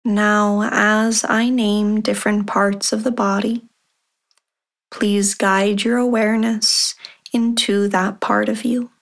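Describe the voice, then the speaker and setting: A woman leading a guided meditation as host, giving calm, measured instructions with long pauses. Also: medium pitch. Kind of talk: guided meditation, body scan.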